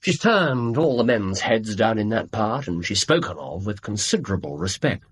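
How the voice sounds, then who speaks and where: An actor playing an incisive, self-assured Victorian gentleman detective in a radio drama, with an English accent and precise, theatrical delivery. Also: fairly low pitch, sing-song, moderate pace, slightly rough. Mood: amused, brisk.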